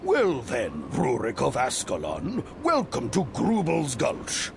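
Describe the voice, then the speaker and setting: A hospitable mountain dwarf from a fantasy game. His creaky voice swoops widely in a stately welcome, with clear noise behind it. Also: mid-range voice, huge pitch swings, takes it slowly, full character voice. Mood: welcoming. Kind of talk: video game cutscene acting.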